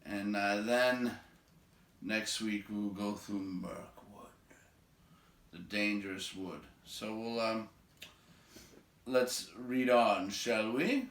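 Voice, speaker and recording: Bright-voiced man reading aloud, roomy echo. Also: lowish voice, very slow, pauses often. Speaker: read-aloud host and reader. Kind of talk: storybook read-aloud with casual commentary. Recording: roomy, echoing home recording.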